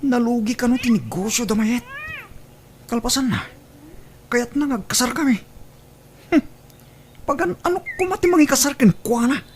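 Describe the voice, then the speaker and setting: A woman's voice: a little high, normal speed, pauses often, a little hiss or hum, close to an everyday voice. A woman acting an evasive, jealous lover in an audio drama, with a Filipino accent. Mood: frustrated. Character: Boni, evasive, jealous lover short of money. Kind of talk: Ilocano radio drama acting.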